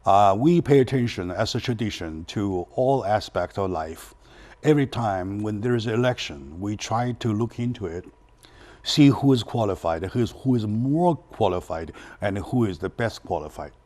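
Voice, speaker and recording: A man hosting a community TV interview show, talking haltingly with many restarts and fillers. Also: fairly low pitch, expressive, a little mellow. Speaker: talk show host.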